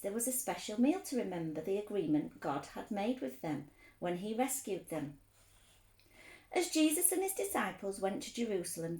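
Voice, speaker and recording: A woman reading a story aloud for children, expressive, explaining things simply as a teacher would. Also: fairly high pitch, reverberant.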